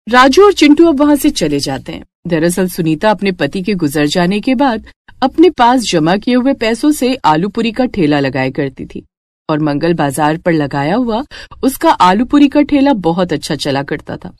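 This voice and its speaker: A woman's voice: medium pitch, big pitch swings, moderate pace, a little mellow, dry recording, studio quality. A woman reading scripted story narration as a storyteller.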